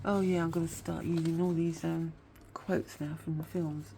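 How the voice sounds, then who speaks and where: A woman with a somewhat low voice talks through her craft work at home in a loose, rambling way. A sore tooth gives her voice a slightly whiny edge, and a faint hum sits underneath. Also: level tone, moderate pace, gravelly, warm tone. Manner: chatty, rambling, admits to waffling. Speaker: craft vlogger. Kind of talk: casual craft show-and-tell chat.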